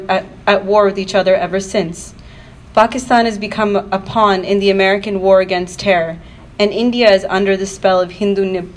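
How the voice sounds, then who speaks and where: A woman reading a prepared political speech at a live event, with earnest, declarative and emphatic phrasing. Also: medium pitch. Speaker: activist panel speaker. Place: university event, speaking to an audience. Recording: noisy live event room recording.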